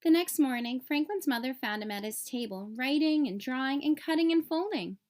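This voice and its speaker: A woman narrating a children's storybook aloud in an expressive, clearly phrased way. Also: high-pitched, normal speed.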